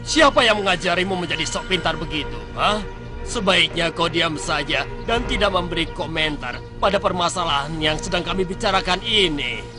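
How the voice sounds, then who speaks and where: A man's voice: fairly high pitch, normal speed, close to an everyday voice. A man voicing a greedy, bullying man who scolds scornfully, over background music. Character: greedy, bullying male cousin.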